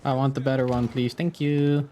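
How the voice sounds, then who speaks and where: A man's voice: mid-range voice, level tone, normal speed, dark tone, a little hiss or hum. A man live-streaming a game, chatting casually, swearing now and then, reacting to play and reading chat aloud, a bit sniffly.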